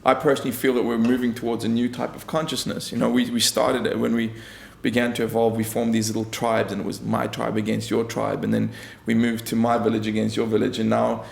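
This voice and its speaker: A man's voice: fairly low pitch, monotone, fairly quick, creaky, hardly any pauses. A man from South Africa co-hosting, musing in casual, philosophical chat. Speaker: co-host. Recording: roomy echo on voice.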